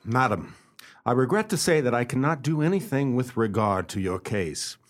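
A man playing a shrewd, polite, skeptical gentleman detective in a radio play, speaking formally and with measured courtesy. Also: medium pitch, lively intonation, natural voice. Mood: firm, regretful. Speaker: detective and narrator.

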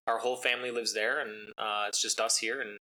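A man's voice: fairly low pitch, little pitch movement, fairly quick, pushed and bright, poor audio. A man whose first language is Italian, talking casually as an interview guest about his racing life, rambling with many ums and uhs. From United States.

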